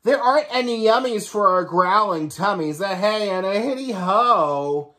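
A man's voice: sits high, expressive, a little slow, pushed and bright, full character voice. A man voicing hungry marching ants from a storybook in a sing-song rhyming chant. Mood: eager chanting.

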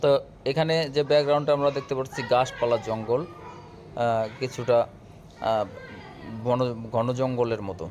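A man's voice: medium pitch, steady tone, moderate pace, pauses often, a little mellow. A man giving a casual step-by-step app tutorial, speaking directly to viewers in an instructional way. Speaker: tutorial host. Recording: clear background noise, room ambience.

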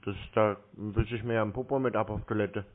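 A man's voice: lowish voice, fairly even intonation, fairly quick. A man chatting casually on a phone call in a laconic, dry, needling way. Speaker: scam-baiter taking calls. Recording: muffled narrow phone-call sound.

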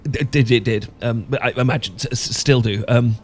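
Male actor as awkward British professor, bashful, sentimental, halting and stammering, mid-pitched, romantic audio roleplay, faint music behind. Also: natural voice. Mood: nostalgic, admiring, then flustered, sheepish. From Britain. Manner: stammering, hesitant, full of false starts. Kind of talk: ASMR romantic roleplay acting.

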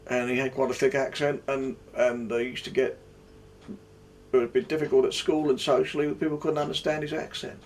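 A man's voice: medium pitch, flat delivery, brisk, slight background noise. Older man recalling a friend fondly. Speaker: interviewee, friend. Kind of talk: reminiscing interview. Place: room full of books, indoors.

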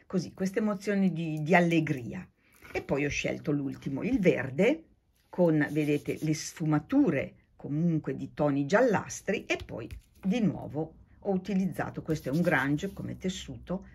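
A woman's voice: low-pitched, breathy edge. An older Italian woman with an Italian accent, patiently explaining a quilting project to viewers in a casual craft tutorial. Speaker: quilting teacher.

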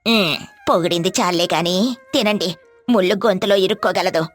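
A woman's voice: fairly low pitch, brisk, soft background music, lightly acted. A woman acting scolding old village wife. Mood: fond nagging. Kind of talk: animated moral story dialogue.

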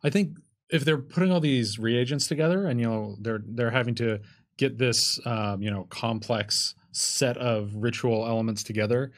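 A man's voice: mid-range voice, steady tone, normal speed. An American man in his thirties chatting casually as a player in a tabletop game. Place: small curtained studio, indoors. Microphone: desk microphone on boom arm.

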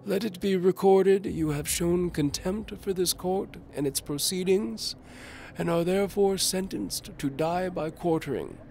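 High, pompous old duke. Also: male voice, creaky, darkish tone, slight background noise. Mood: pompous, accusing. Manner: slow, declamatory, pompous. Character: pompous old medieval duke passing judgment.

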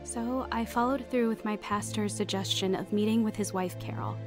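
A woman's voice: mid-range voice, moderate pace, lightly acted. An actress as an earnest young woman narrator over music. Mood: confessional. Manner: earnest, confessional. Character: reflective young woman narrating her troubled teens. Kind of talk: scripted first-person drama narration.

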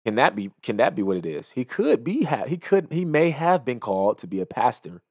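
A man's voice: medium pitch, sing-song, fairly quick, no room sound. Young guy doing reaction commentary, preachy and emphatic, real dry mic sound. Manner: emphatic, moralizing. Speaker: video commentator. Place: small indoor home studio. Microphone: close studio microphone on an arm, headset on.

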